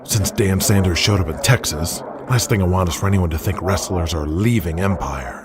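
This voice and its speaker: An actor playing a hard-nosed, commanding wrestling promotion boss in an audio drama. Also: male voice, deep voice, moderate pace, background noise, natural voice. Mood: firm, businesslike. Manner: blunt, commanding.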